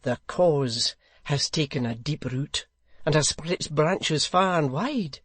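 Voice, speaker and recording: Reader doing a pompous zealot lord in an old novel, formal, slow, very earnest n righteous. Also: medium pitch, lively intonation, a bit bright, slightly rough, dry recording, exaggerated voice. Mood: pleased zeal. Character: zealous, self-righteous English lord, solemnly easily offended.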